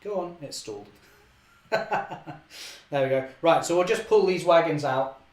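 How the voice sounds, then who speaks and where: Young guy hosting a live hobby stream, a bit hoarse, chatty and upbeat, higher voice, echoey room. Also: unhurried. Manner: chatty, self-correcting, cheerful. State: slightly hoarse. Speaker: model railway channel host. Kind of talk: live-stream running commentary.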